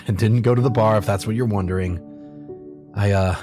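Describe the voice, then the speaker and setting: A man playing a reserved younger brother in an audio drama, speaking in a quiet, understated way. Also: fairly low pitch, fairly even intonation, moderate pace, music in the background, close to an everyday voice. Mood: guarded, casual.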